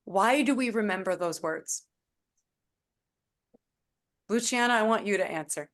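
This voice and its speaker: A woman leading an online group session over a video call, gentle and encouraging, calling on participants by name. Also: medium pitch, lively intonation, measured pace. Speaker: guest speaker leading a session.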